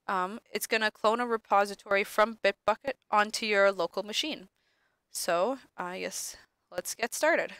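A young woman lab instructor, sniffly from allergies, talking casually through a screen-recorded software tutorial with many ums. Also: mid-range voice, clear, resonant tone, no room sound.

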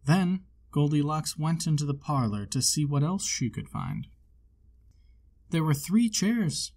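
A man with a slightly high voice narrates a children's storybook. He reads aloud in a measured, expressive storytelling way. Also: gravelly. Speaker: storybook reader.

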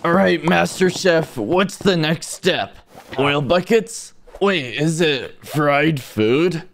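A man's voice: on the high side, expressive, slow pace, creaky, a little hiss or hum, exaggerated voice. A man voicing an earnest young stick-figure cook who leads his friends in an amateur cartoon dub, giving instructions. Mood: eager, instructing.

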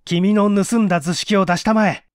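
Man acting an earnest, high-pitched researcher. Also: fairly even intonation, normal speed, no room sound, clean studio sound, close to an everyday voice. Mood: commanding. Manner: urgent, emphatic, persuasive. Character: earnest, bold young male researcher-detective.

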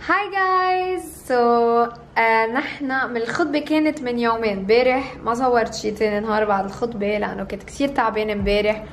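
A Lebanese woman in her thirties with a fairly high voice talks casually to her vlog. She is bubbly and effusive and slips English into her sentences. Music plays clearly behind her. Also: quick pace. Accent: Lebanese Arabic. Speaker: vlogger.

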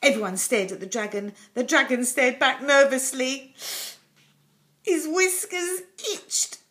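An older woman reading a children's story expressively and dramatically, with deliberate pauses, in a small room at home. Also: high-pitched, fairly bright tone.

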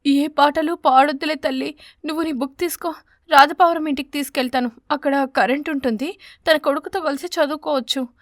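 Casting: patient, struggling mother sparrow for a cartoon tale, high-pitched and soothing , done by a woman. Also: steady tone, fairly quick, no room sound, studio quality, lightly acted. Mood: resigned. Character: poor, careworn mother sparrow, patient and loving. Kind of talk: animated bedtime story character.

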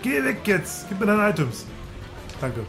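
A man's voice: high-pitched, sing-song, measured pace. A man giving casual, joking let's-play commentary while racing, making playful sound effects, with game music underneath.

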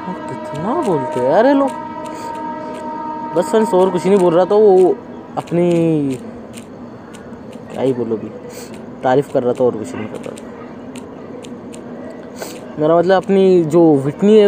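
A man's voice: on the high side, animated, unhurried, pauses often, background noise. Chatty young man narrating gameplay. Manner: chatty, thinks aloud while playing. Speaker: gaming channel host. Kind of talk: casual let's-play commentary.

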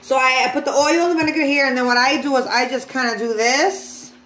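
A high-voiced woman talks viewers through her cooking in an informal, chatty way. She takes her time, speaking off the cuff in a home kitchen. The phone recording is echoey, with clear background noise. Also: fairly even intonation, quick pace, a bit bright. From the Bronx, New York, USA. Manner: informal, addressing viewers as guys, unscripted. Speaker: home cook vlogger. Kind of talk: casual cooking demonstration, chatty.

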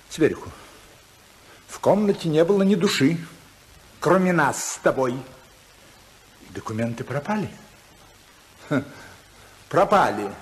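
An actor playing an imperious, stern older tycoon father in a 1950s radio play, commanding and theatrical, with deliberate pauses. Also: medium pitch, poor audio. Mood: suspicious. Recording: 1950s radio drama recording.

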